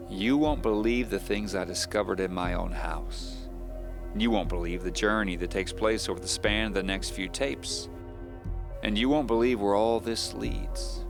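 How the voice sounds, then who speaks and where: A man playing a secretive, bitter divorced novelist confiding deliberately in a first-person audio drama monologue. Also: low-pitched, creaky. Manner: deliberate, confiding, storyteller's delivery. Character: secretive, bitter divorced male suspense novelist confessing on tape. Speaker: narrator playing a fictional novelist.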